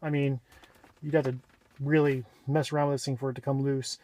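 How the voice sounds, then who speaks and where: A man giving a casual, unscripted walk-around explanation in a plainspoken, halting way, pausing mid-phrase, recorded on a handheld camera mic around his vehicle. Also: mid-range voice, little pitch movement, warm tone. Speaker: vlogger showing his own vehicle radio install.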